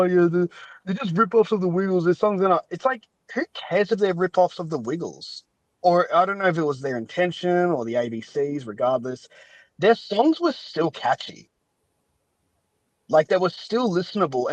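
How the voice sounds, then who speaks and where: A man's voice: fairly high pitch, no room sound, low-quality recording. A man chatting casually as a podcast guest, giving his opinions in a rambling way and often qualifying what he says. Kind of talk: casual chat.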